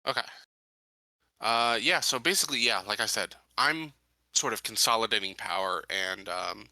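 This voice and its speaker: A man with a lowish but very bright, sharp-edged voice talks as a player in a tabletop game. He is casual and offhand, halting as he sorts out his plans. The sound is very dry. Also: no room sound.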